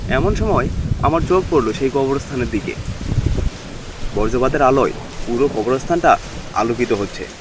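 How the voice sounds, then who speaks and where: A man's voice: mid-range voice, animated, moderate pace, long pauses, close to an everyday voice. A man playing a village cattle doctor who tells his eerie tale in the first person for a horror cartoon. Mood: tense, eerie. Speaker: narrator and lead character. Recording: constant rain and wind noise behind.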